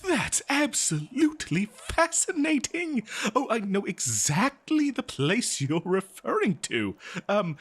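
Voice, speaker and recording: A man performs a kindly male archaeologist in a fantasy audio roleplay. His voice sits a little high and swings widely in pitch with scholarly wonder. He speaks slowly and haltingly, stammering as he addresses the listener directly. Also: fairly bright tone, breathy edge, heavily acted. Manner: hesitant, stammering, awestruck scholarly enthusiasm. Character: eager, kindly male archaeologist befriending a lonely monster. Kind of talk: scripted fantasy audio roleplay, one-sided dialogue to listener.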